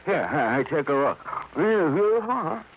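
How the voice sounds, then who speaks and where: Seedy wheedling American gumshoe, higher-voiced. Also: male voice, very animated, full character voice. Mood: jokey, wheedling. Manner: wheedling, chatty, repetitive and halting. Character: seedy, over-familiar male private investigator, wryly self-mocking. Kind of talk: 1950s American radio crime drama acting. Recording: dull old radio recording, faint noise.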